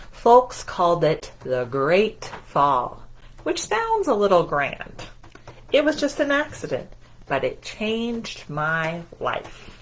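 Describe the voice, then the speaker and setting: A woman voicing a gentle, wistful male egg in measured, plain storybook narration. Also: on the low side, full character voice.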